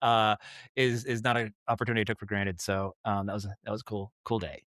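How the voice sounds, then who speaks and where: A man's voice: fairly low pitch, fast, no room sound, clean studio sound. A man from Maine with an American accent, talking casually as a podcast guest, rambling through stories and acting out asides. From Maine, United States. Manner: rambling, animated acted-out asides. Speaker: podcast guest, audio drama director and writer. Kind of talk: casual interview storytelling.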